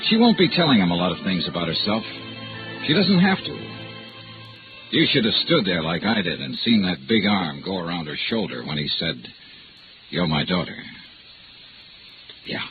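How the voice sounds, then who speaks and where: An actor playing a tough but soft-hearted insurance investigator, clipped and wry, in a 1950s radio detective show. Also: male voice, low voice, big pitch swings, normal speed, pauses often. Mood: brisk, wry. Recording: tinny old radio, music behind.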